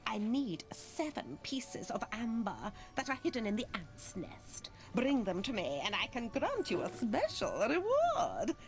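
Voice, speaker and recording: A woman's voice: fairly high pitch, wildly swooping pitch, unhurried, few pauses, heavily acted. A woman voicing a sly, teasing old forest witch in a video game, theatrical and mock-deferential. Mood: coaxing, bargaining. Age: older. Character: sly, wart-covered old forest witch, cackling crone. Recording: music under the voice. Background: game music.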